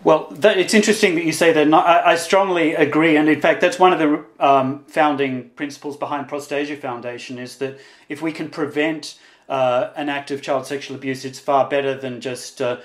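Male interviewer, forties, slightly high voice, deliberate and hesitant, recorded with clear room echo. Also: fairly even intonation. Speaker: interviewer, host. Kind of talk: conversational interview. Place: indoors, on video call. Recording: roomy, echoing video call.

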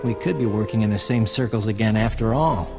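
An actor playing a flirtatious resistance fighter in a video game, easygoing, confident and wry. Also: male voice, fairly low pitch, brisk, music in the background, lightly acted. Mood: hopeful, rueful. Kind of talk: video game dialogue acting.

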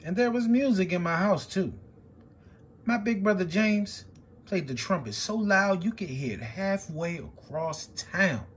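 A man reading a picture book aloud with expressive, swinging delivery. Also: a little slow, slightly rough. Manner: expressive storytelling with big swings in pitch and loudness.

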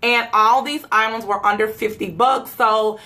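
Chatty hoarse woman vlogger. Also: on the high side, steady tone, moderate pace, pushed and bright. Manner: chatty, rambling, frequent "um" and "like". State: hoarse from a cold. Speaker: YouTube vlogger. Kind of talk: casual gift-guide chat to camera. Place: bedroom at home. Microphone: camera mic, seated facing camera.

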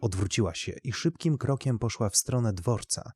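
A man's voice: mid-range voice, normal speed, dark tone, slightly rough, no room sound, studio quality. A man narrating literary fiction as a scripted audio story.

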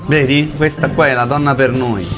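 A man's voice: medium pitch, fairly even intonation, normal speed. A man chatting and joking casually as a gaming host. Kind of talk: casual gameplay banter. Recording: loud music over the speech. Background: loud music.

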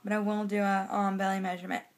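A young woman talks casually to her phone in a small room, as in a personal vlog. Her medium-pitched voice carries a slight rasp from a cold. The delivery is informal and conversational. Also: little pitch movement, fast. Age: young adult. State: has a cold. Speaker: pregnancy vlogger giving a weekly update. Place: small bedroom indoors. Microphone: phone at arm's length.

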